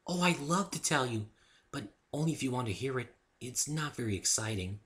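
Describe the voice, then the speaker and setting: A man voicing a shy, gentle young female winged pony, timid and hesitant, in a story reading. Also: medium pitch, animated, a little fast, pitched above the performer's own voice, natural voice. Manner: timid, hesitant, soft-spoken.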